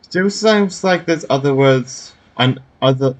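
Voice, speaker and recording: A man's voice: medium pitch, slow. A man hosting a gaming video, giving casual play-along commentary in short, offhand reactions. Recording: faint game music behind.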